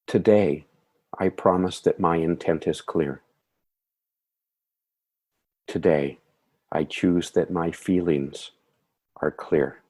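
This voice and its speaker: A man leading a guided meditation in a soothing, measured way, with calm phrasing and gentle affirmations. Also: low-pitched, husky.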